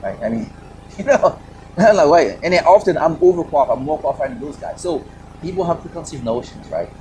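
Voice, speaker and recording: A man's voice: on the high side, expressive, quick pace, warm tone, a little hiss or hum, low-quality recording. A man with a Haitian accent, speaking as a panelist on a video call, passionate and emphatic with rhetorical questions. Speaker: panelist, Air Force operations director. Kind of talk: virtual panel discussion.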